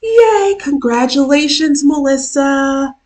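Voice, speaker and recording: A woman with a hoarse, worn-out voice, casually chatting as host of her own live stream during a work lunch break, with chatty self-deprecating asides. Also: high-pitched, animated, takes it slowly, a little mellow. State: hoarse, lost voice from illness. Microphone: camera mic.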